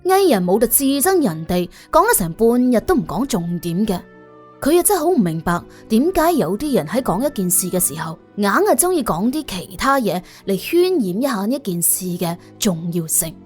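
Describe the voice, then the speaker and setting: 一個女人用粵語演繹有聲偵探小說，照稿講故事，做旁白。. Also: female voice, medium pitch, sing-song, moderate pace, a little mellow, faint music behind. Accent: Cantonese. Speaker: audiobook narrator. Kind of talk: expressive scripted detective-story narration.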